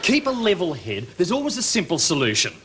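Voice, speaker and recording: A man's voice: high-pitched, huge pitch swings, fairly quick, over a noisy crowd. An Australian man presenting a mock cookery demonstration in a theatrical, mock-earnest way. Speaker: comedy TV cooking presenter. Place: TV studio kitchen set. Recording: noisy old TV audio, studio audience. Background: audience noise and laughter, clicks.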